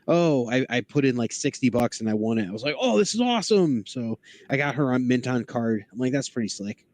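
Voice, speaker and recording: A man's voice: medium pitch, big pitch swings, brisk, a little mellow. A man chatting casually and enthusiastically about cartoons, with a geeky manner, as a podcast guest.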